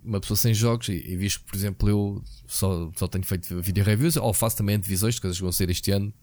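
A hoarse man with a European Portuguese accent, co-hosting a podcast in a relaxed, rambling chat full of fillers and restarts. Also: on the low side, quick pace, dry recording. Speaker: podcast co-host. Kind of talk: casual chat about video games.

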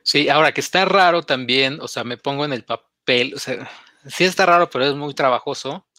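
Man, bright tense voice, dry close sound, rambling casual talk. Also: medium pitch, a little fast, no room sound. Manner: rambling, casual, slips into English words. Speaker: podcast co-host. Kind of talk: casual chat.

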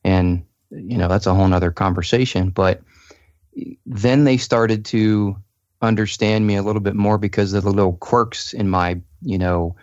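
A man's voice: on the low side, fairly even intonation, moderate pace, a little mellow, no echo, highs cut off. A man, a podcast guest, talking earnestly and explaining his points with plenty of "you know"s. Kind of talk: casual podcast chat.

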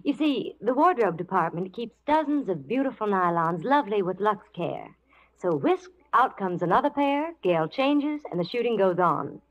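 Old radio ad lady, super animated, normal pitch, scripted 40s sales chat, dull recording. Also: normal speed. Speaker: radio advertising co-presenter. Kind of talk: scripted 1940s radio ad patter. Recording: muffled 1940s radio recording.

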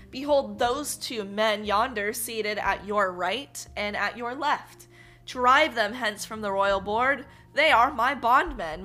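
A woman's voice: mid-range voice, sharp tone, natural voice. A woman voicing a humble but earnest young peasant hero in a storybook reading. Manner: earnest, slow and pleading.

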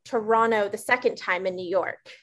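A woman hosting an interview, asking questions and answering with quick, encouraging affirmations. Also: medium pitch, fairly bright tone. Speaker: podcast host.

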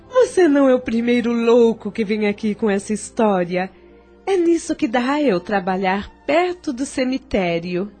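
A woman's voice: on the high side, sing-song, unhurried, darkish tone, slightly rough, exaggerated voice. A Brazilian actress playing a worldly, flirtatious female ghost in a radio drama, coaxing and teasing. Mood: mocking. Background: background music.

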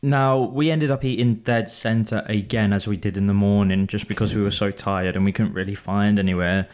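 A man's voice: fairly low pitch, moderate pace, clean tone. A British man co-hosting a podcast, chatting casually about a trip and swearing freely. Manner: relaxed, swears freely, tells the story. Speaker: podcast co-host. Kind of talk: casual chat.

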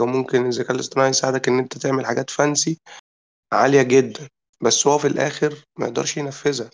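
Egyptian man with a cold, gravelly voice. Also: mid-range voice, dull audio. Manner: explains at length, keeps checking in with listeners. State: has a cold, voice worn. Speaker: product designer in a group chat. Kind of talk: casual discussion.

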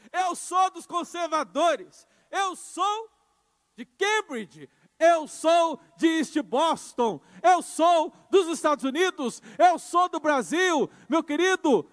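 High-voiced man preaching in a reverberant church. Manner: emphatic preaching, swings from soft to loud. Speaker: pastor. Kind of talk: sermon. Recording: church hall with long reverb.

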